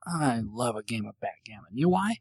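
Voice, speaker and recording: Man, casual solo host, self-deprecating, halting restarts, mid pitch, dry close mic. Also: expressive, a little mellow, slightly rough, dry recording. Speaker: podcast host answering listener questions.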